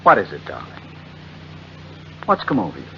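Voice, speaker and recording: An actor playing a suspicious, insistent husband in a theatrical 1940s radio style. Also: male voice, deep voice, wildly swooping pitch, moderate pace, pushed and bright, full character voice. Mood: concerned, insistent. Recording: muffled, noisy 1940s radio recording.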